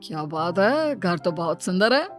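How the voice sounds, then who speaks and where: A woman voicing a male office boss in a cartoon story, measured and self-important. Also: fairly low pitch, sing-song, soft background music, voice pushed down. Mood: polite. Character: smooth, then stern male office boss.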